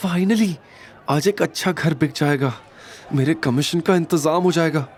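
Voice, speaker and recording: A man's voice: fairly high pitch, measured pace, a little mellow, pitched above the performer's own voice, close to an everyday voice. Snooty saleswoman, fake-polite and dismissive, put on by a male narrator, audio drama. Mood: condescending. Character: snooty saleswoman, adult female, condescending.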